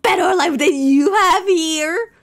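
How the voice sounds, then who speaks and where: A woman's voice: very high-pitched, takes it slowly. A woman doing casual, exclamatory reaction commentary as she plays through a game. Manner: expressive, exclamatory. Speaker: game streamer reacting to a playthrough.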